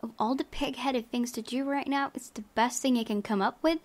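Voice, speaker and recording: A woman's voice: fairly high pitch, fairly even intonation, fairly quick. A young woman hosting a let's play, reading visual novel narration aloud expressively.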